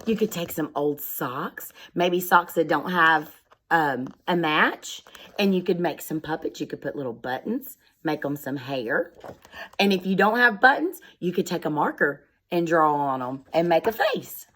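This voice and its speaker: A woman's voice: low-pitched, animated, measured pace, a bit bright. A woman speaking as a schoolteacher, reading aloud and explaining things in simple, instructive words addressed directly to young children.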